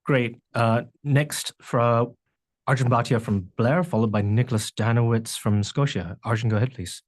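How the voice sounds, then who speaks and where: Mid-pitched male call moderator. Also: steady tone, moderate pace. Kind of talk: brisk question-queue announcements.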